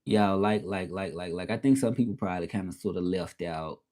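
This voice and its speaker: A man's voice: deep voice, a little fast, a little mellow. A man in his thirties with a Southern US accent, hosting a casual livestream and rambling as he repeats himself and answers viewers. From Southern United States. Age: 30s. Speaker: livestream host.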